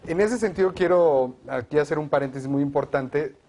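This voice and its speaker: A man, a guest advocate for education, explaining proposals in a measured way on a televised discussion. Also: fairly high pitch, dark tone, slight background noise.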